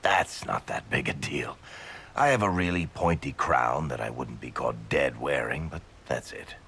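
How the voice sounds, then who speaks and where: A man voicing a wisecracking, big-hearted dwarf rogue in a video game, with a gravelly voice and a casual, irreverent manner. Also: unhurried, fairly bright tone, a little hiss or hum, full character voice. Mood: wry, breezy. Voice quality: low gravelly voice. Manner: wry, casual, breezily irreverent.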